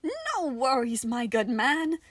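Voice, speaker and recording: A woman voicing a delighted, unnaturally chipper wooden toy soldier with old-fashioned gentlemanly speech in an audio story. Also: high-pitched, huge pitch swings, slow pace, no echo, voice pushed up, exaggerated voice. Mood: cheerful.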